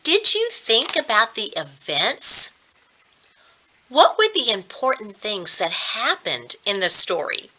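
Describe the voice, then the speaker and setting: A woman reading a children's story aloud as a reading coach, deliberate and expressive. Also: a little high, poor audio.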